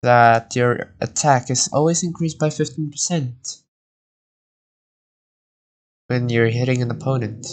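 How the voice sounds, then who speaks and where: Slow-paced man streaming a game. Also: mid-range voice. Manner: rambling, thinking aloud. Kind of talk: casual live gameplay commentary.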